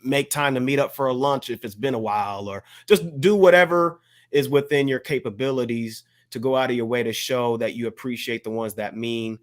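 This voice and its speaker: An American man co-hosting a podcast, giving casual shout-outs in an earnest, measured way that mixes formal phrasing with slang. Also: medium pitch. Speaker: podcast co-host.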